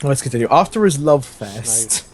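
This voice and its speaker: A man's voice: medium pitch, expressive, normal speed. A man reading a comic story aloud as host, with casual banter and dramatic emphasis. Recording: faintly noisy home gaming recording.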